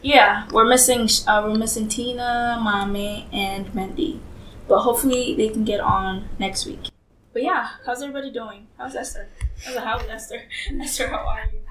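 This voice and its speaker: A woman's voice: a little high, fairly even intonation, moderate pace, a bit bright. A woman co-hosting a casual chat, talkative and laughing often. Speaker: co-host. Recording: echoey, far from the mic, noisy.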